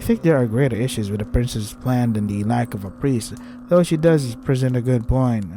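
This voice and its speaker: A man's voice: mid-range voice, fairly quick, warm tone, faint music behind. A man doing gaming commentary as he reads a visual novel aloud, breaking in with sarcastic, foul-mouthed asides. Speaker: let's play host reading a visual novel aloud.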